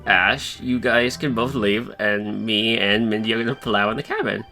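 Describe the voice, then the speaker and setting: A man's voice: on the low side, fairly even intonation, normal speed, sharp tone, soft background music, pitched below the performer's own voice. A man voicing a crude, lustful young cartoon guy with a blunt, swaggering manner in an improvised parody dub. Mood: smug.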